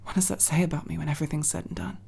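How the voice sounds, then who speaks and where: A young woman playing a guarded but tender tomboy friend in an ASMR roleplay, speaking intimately and haltingly, with sincere, teasing, casually sweary delivery. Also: sits low, steady tone, creaky, warm tone, breathy edge, heavily acted. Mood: guilty, confiding. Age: young adult. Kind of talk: ASMR roleplay, intimate one-sided dialogue.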